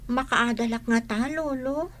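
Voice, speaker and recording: A woman's voice: a little high, measured pace, fairly bright tone. A woman playing an eager, slightly worried young schoolgirl in a radio drama. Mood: earnest. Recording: faint background noise.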